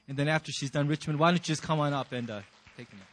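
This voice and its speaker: A man's voice: a little high, rapid-fire, fairly bright tone, a little hiss or hum. A man speaking informally to a congregation as a pastor introducing guest speakers. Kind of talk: informal church address. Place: high school cafeteria used for church.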